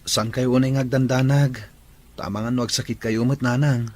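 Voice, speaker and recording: A man's voice: mid-range voice, steady tone, normal speed, darkish tone, lightly acted. A man with an Ilocano Filipino accent playing a concerned grown son soothing his mother in an audio drama. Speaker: drama voice actor.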